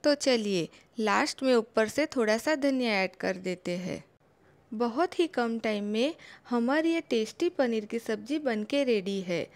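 A young woman giving a calm, step-by-step home cooking voice-over as a channel host. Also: fairly high pitch, unhurried. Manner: calm, instructive, homely guidance.

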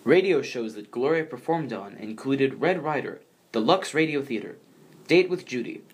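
An American man shares the narration of a biography. He reads prepared lines in a voice of medium pitch, acting as co-presenter. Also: expressive, moderate pace, low-quality recording. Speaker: co-presenter, grandson of the subject.

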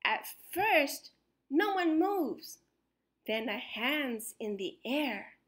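A woman reads a children's picture book aloud in a high voice with very lively, swooping intonation. She phrases the rhymes deliberately and dramatically, leaning on key words as a storyteller does for young listeners. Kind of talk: expressive children's read-aloud of rhyming text.

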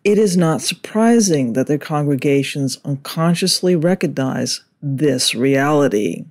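Slow, deliberate male commentator. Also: on the high side, lively intonation. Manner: measured, indignant, deliberate. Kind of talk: scripted political commentary.

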